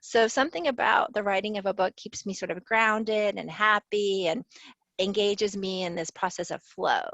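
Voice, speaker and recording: A woman's voice: medium pitch, moderate pace, fairly bright tone, no echo, rough recording. A woman sociology professor from the American South, answering interview questions earnestly and thoughtfully, often laughing. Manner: earnest, thoughtful, laughs easily, restarts phrases. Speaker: sociology professor and author, guest.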